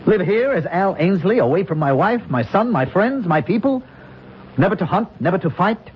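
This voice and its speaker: A man's voice: sits high, sing-song, normal speed, a little hiss or hum. An actor playing a troubled, despairing man who refuses to fight, in earnest old-time radio drama style. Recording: dull lo-fi old radio recording.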